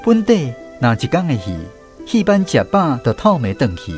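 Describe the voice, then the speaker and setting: A man's voice: on the high side, very animated, moderate pace, darkish tone, faint music behind. A man with a Taiwanese accent giving scripted storytelling narration for a radio drama.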